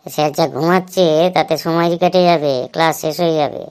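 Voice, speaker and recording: A slightly low female voice, a woman acting as a young cartoon pupil. The delivery is chatty and griping, like one classmate plotting with another. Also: steady tone, brisk, a little mellow, smooth, close to an everyday voice. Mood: amused griping. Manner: conspiratorial, chatty, complaining. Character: chatty young school pupil griping about sleepy teacher. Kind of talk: comedy cartoon dubbing.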